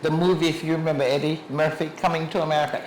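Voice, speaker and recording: Emphatic speaker in an echoey room. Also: female voice, low voice, fairly even intonation, very fast, darkish tone. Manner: emphatic, lists places one by one. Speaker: guest speaker. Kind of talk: public lecture with questions. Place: museum lecture room. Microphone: clip mic under a shawl. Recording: echoey room, faint background noise.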